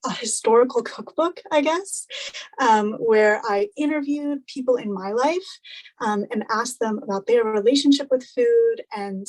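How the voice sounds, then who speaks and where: A woman's voice: on the high side, measured pace, darkish tone, poor audio. A young woman in her twenties, a student panelist, talking in a chatty, upbeat way with lots of fillers. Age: 20s. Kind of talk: casual panel chat on a video call.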